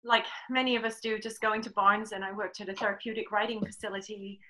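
Bright middle-aged Englishwoman chatting. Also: mid-range voice, flat delivery, quick pace, low-quality recording. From England. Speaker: webinar guest, riding clinician and horse trainer. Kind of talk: casual interview, telling own career story.

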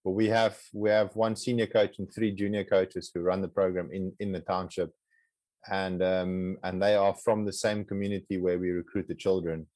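A low-pitched man with an Afrikaans accent answers interview questions in a halting way, repeating words as he restarts. His voice sits very deep. The sound is dry and close. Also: level tone, a little mellow, no room sound. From South Africa. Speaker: guest, project co-founder. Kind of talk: casual interview conversation.

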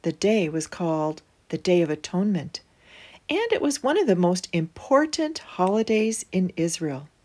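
A woman reading a children's storybook aloud as host, with deliberate phrasing and emphatic pauses. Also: fairly low pitch, dark tone.

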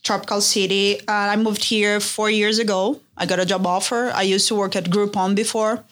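A woman's voice: mid-range voice, flat delivery, normal speed, fairly bright tone. Brazilian-accented woman, chatty podcast guest. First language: Portuguese. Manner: friendly, chatty, simple phrasing. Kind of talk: casual interview. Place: studio.

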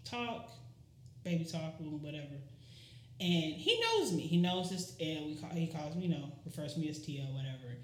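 A woman's voice: sits low, very animated, moderate pace, a little hiss or hum. Emphatic woman in a roomy echo. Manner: emphatic, repeats key phrases. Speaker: podcast co-host. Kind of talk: casual chat.

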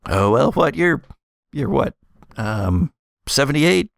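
Man as laid-back young nonbinary biker, gravelly. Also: medium pitch, very animated, slow, no echo, studio quality, voice pushed up, full character voice. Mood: curious. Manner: hesitant, casual slangy talk.

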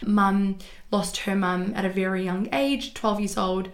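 Woman, twenties, chatty, gravelly voice, echoey room. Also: medium pitch, a little slow. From Australia. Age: 20s. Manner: casual, chatty, many "like" fillers. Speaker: guest. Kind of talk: interview storytelling.

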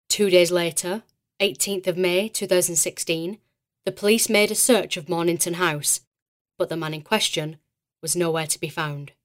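A woman playing a poised podcast host of eerie mysteries in an audio drama, with a measured, articulate, theatrical delivery. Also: fairly low pitch, natural voice. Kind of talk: scripted podcast narration.

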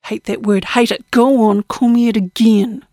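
A woman acts a defiant, tough young woman in an audiobook. She is blunt and combative, in a fairly high voice with a dry sound. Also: fairly even intonation, moderate pace, mellow, dry recording, close to an everyday voice. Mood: simmering anger.

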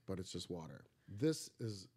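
A man's voice: low-pitched, sing-song, normal speed, a little mellow, breathy edge. A man hosting a casual podcast in a studio, chatting and joking in a loose, rambling way. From Egypt. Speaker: podcast host.

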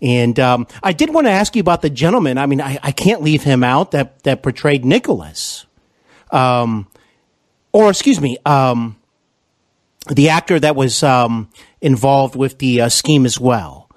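A man's voice: medium pitch, animated, a little slow, dry recording, studio quality. A man hosting an interview, asking long rambling questions full of fillers and restarts. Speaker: podcast interviewer and actor.

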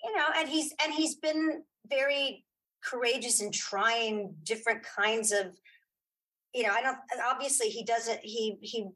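Middle aged lady in a casual interview, kinda halting, repeats bits of her phrases as she gives opinions. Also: a little high, pushed and bright, low-quality recording. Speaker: guest, playwright and audio drama creator.

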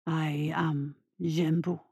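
A woman performs an ancient, hulking tortoise monster for a fantasy audiobook. The voice drops low into a rumbling growl. Each pronouncement comes slowly and deliberately, with cold, cruel authority. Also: little pitch movement, mellow, slightly rough, no echo, clean studio sound, exaggerated voice. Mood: cold menace. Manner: slow, deliberate, dismissive pronouncements.

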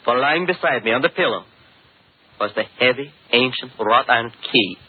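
Haunted count: grave, formal, fearful, rough husky voice, narrow-band 1940s radio sound. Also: male voice, medium pitch, expressive, moderate pace. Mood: haunted, dread. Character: haunted, fearful aristocratic count, polite but desperate. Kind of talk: 1940s radio mystery acting. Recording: muffled, lo-fi 1940s radio recording.

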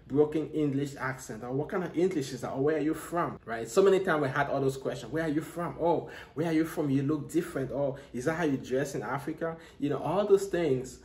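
A man's voice: a little high, a little fast, few pauses, darkish tone, echoey room. Earnest Cameroonian-born man hosting a podcast. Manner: earnest, repetitive restarts, motivational. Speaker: podcast host. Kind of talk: solo podcast monologue.

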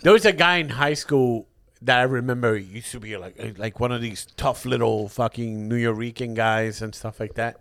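Bright-voiced man telling rowdy stories. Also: mid-range voice, normal speed, creaky, dry recording, studio quality. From United States. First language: English. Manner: profane storytelling, bursts into loud laughter. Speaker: podcast co-host. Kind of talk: casual podcast banter.

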